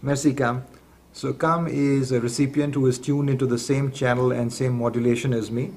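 A man giving a measured, methodical, step-by-step explanation as the presenter of a product tutorial. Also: mid-range voice, steady tone, a little mellow. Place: indoors at a table in a room. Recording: clearly noisy room recording.

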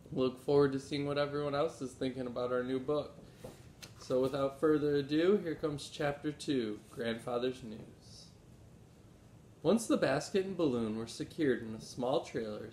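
A man in his thirties reading a children's story aloud like a teacher, expressively, acting out the dialogue. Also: a little mellow. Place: small room at home. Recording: roomy echo, low rumbling noise.

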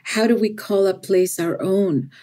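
Salvadoran-born poet lady, spanish native, warm mellow voice, thinks out loud, says "right?" a lot. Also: mid-range voice, measured pace. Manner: thoughtful, repeats words, often adds "right?". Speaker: poet, podcast guest. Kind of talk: reflective interview conversation.

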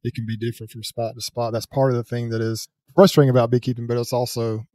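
Older guy on a video call w/ headset mic, rambles and corrects himself, talks quick. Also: medium pitch, level tone, mellow, dry recording. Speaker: beekeeping channel host. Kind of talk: casual interview chat. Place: home room with a headset mic. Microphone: headset with a boom mic, video call.

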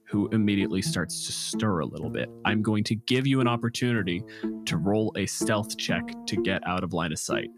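A man's voice: fairly low pitch, lively intonation, a little fast. A man working as game master, narrating a tabletop role-playing game in a conversational, descriptive way. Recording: guitar music behind the voice. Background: plucked guitar music.

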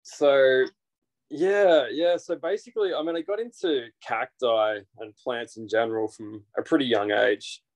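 A man's voice: mid-range voice, measured pace. Australian man, 30s, laid-back interview guest on a laptop mic, loose and chatty. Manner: relaxed, rambling with frequent fillers and restarts. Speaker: guest, naturalist guide. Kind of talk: casual interview over video call. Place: small indoor room. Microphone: video call, built-in device mic.

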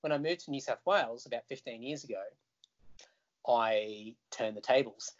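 A man's voice: mid-range voice, normal speed. Chatty Australian man on a dull call line. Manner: animated, chatty storyteller who laughs easily. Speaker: guest musician. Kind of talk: casual video call chat. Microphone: video call.

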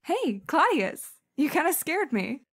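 A woman playing a cheerful, curious high school girl in an audio drama. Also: sing-song, a little slow, fairly bright tone, exaggerated voice. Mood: casual. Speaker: voice actor.

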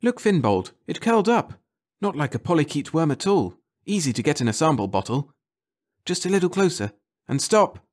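A man's voice: a little high, big pitch swings, normal speed, voice pushed up. A man voicing an eager, earnest female scientist, an aquatic creature, in an audiobook. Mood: excited. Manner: earnest, wonder-struck, pleading. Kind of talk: audiobook character voice.

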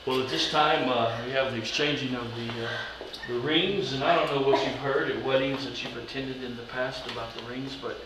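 A man performing as an Elvis impersonator officiant, giving teasing, halting wedding ceremony patter in a chapel. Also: mid-range voice, fairly even intonation. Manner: halting, drawling showman, teasing. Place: wedding chapel in Las Vegas. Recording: roomy, distant mic, noisy background.

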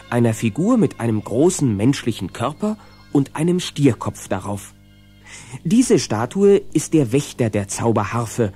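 A man narrating a scripted cartoon adventure as storyteller, in a measured, dramatic way. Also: medium pitch, mellow, husky.

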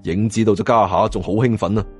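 A man's voice: on the low side, fairly even intonation, fast, breathy edge, faint music behind. A man with a Hong Kong Cantonese accent, narrating an audiobook in a dramatic first-person storytelling style.

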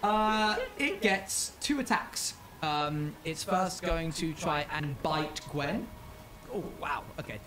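A man narrates a tabletop adventure as game master. He describes the action in an unhurried way, often stopping to say um. His voice sits in an echoey room with a faint hiss. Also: fairly high pitch. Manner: descriptive, often pausing with ums.

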